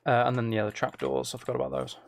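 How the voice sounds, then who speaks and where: A man's voice: mid-range voice, flat delivery, racing, creaky, noisy background. A man giving casual gameplay commentary, thinking aloud in short, muttered phrases as he plays. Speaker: streamer playing a video game.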